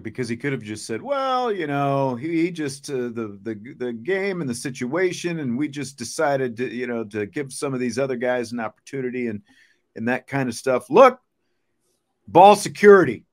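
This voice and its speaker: A man hosting a football show, giving casual, conversational commentary with emphatic points. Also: mid-range voice. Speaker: sports podcast host.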